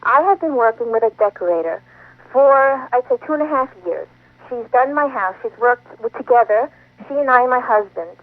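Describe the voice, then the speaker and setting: A woman's voice: fairly high pitch, expressive, fairly quick, no room sound. Woman caller on a tinny phone line. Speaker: caller to an advice show. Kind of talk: conversational account of a personal problem. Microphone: telephone.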